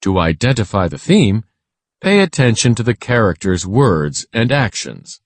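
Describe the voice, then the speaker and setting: Man, scripted educational narration, deepish pitch, swooping intonation, measured instructional delivery. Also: very animated, no room sound. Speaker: narrator of a children's reading lesson. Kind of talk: scripted read-aloud lesson narration.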